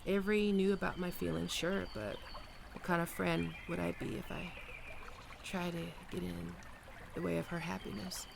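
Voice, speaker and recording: A performer playing a gentle young best friend with a secret crush, speaking softly and hesitantly in an ASMR roleplay, comforting and tender. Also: mid-range voice, level tone, moderate pace, creaky, background noise. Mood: wistful. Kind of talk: soft-spoken ASMR roleplay.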